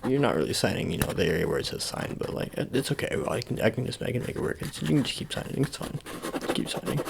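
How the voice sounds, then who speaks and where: A man doing an improvised ASMR roleplay as a sports agent, talking in halting, repetitive, murmured reassurances. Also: medium pitch, sing-song, creaky, very noisy. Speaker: ASMR performer.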